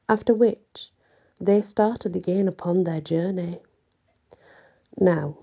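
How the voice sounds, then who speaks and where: Lady reading a bedtime story asmr style, low pitched and really slow, kinda halting. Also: vocal fry, warm tone, breathy edge.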